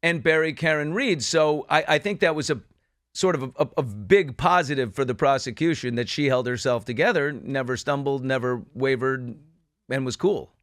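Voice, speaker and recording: A male talk show host with a mid-pitched voice and very little room sound. He discusses a crime case in an emphatic, opinionated manner, putting rhetorical questions as he builds his points. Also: normal speed, a bit bright, no room sound, studio quality.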